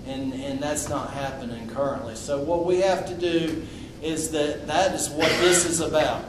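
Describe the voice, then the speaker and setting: A man delivers an off-the-cuff speech to a public meeting, loud and outspoken, often restarting his sentences. His voice sits a bit high for a man. He was recorded from across an echoey room with a steady noisy hiss behind him. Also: moderate pace, far from the mic. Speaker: invited speaker at a public meeting. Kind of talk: impromptu public address.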